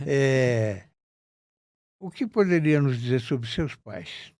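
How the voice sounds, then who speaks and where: A man's voice: mid-range voice, big pitch swings, slow, darkish tone, no echo, studio quality. Courteous man hosting a podcast. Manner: courteous, formal introductions, brief affirmations. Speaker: podcast host. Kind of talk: interview hosting.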